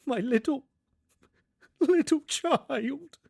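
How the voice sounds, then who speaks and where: A man voicing a gentle, grieving poor father in a storybook, tender and faltering. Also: extremely high pitch, very slow, creaky, no room sound, clean studio sound, voice pushed up, heavily acted. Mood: tearful, tender. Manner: tender, faltering, repeating himself. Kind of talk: dramatised storybook reading.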